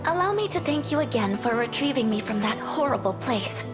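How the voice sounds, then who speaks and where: A woman playing a gentle, courteous young cleric in a fantasy video game, with a sweet, caring manner. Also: fairly high pitch, lively intonation, fairly quick, music in the background, lightly acted. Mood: polite, cheerful.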